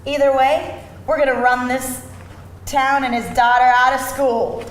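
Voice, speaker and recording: Actress, haughty gang-leader mother, high pitch, deliberate pace, dramatic, live reading. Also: little pitch movement. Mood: tough, menacing. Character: cold, haughty female leader of school-mom gang. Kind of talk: live screenplay table read. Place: live event room with audience. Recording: echoey room, faint noise.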